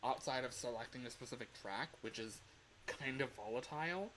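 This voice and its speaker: A man with a mid-pitched voice talks casually as a livestream host walking through a tutorial. His delivery is informal and rambling, and there is some noise behind him on the microphone. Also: fairly quick, a bit bright. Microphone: microphone on a home streaming setup.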